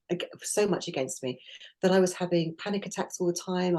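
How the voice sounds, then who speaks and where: A woman's voice: lowish voice, mellow, rough recording. A middle-aged woman co-hosting a podcast, talking in an earnest, reflective way, often restarting her sentences. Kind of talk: casual conversational podcast.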